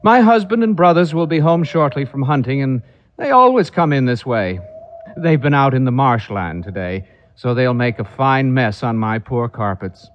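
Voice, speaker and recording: A man voicing a brisk, cheerful middle-aged aunt who prattles on as a hostess in an audiobook story. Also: medium pitch, sing-song, dull audio, lightly acted.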